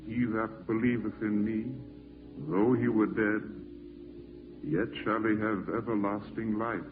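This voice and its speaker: Man as slow, solemn radio clergyman. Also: on the low side, little pitch movement, natural voice. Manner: measured, ceremonial. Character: solemn clergyman reading the burial service. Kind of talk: scripture recitation in radio drama. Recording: muffled old radio, music behind.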